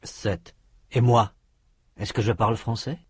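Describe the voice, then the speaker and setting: A man reading drill questions for a language course, in slow prompts with lively intonation. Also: lowish voice, husky, dry recording.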